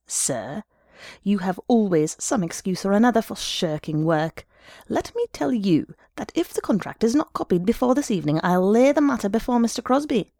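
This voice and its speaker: A woman voicing a furious, shrill little office boss, a scolding and sarcastic man with a North of Ireland accent, in a story reading. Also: darkish tone, dry recording, clean studio sound. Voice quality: shrill, piercing. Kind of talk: dramatised literary story reading.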